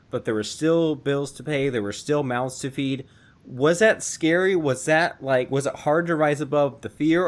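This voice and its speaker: A man hosting a casual interview, summing up his guest's answers in a friendly, relaxed way. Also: mid-range voice. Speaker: podcast host.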